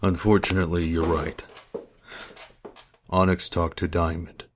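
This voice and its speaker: A man playing a calm, weary shapeshifter leader in an audio drama. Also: low voice, measured pace, noisy background. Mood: grave. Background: loud background music.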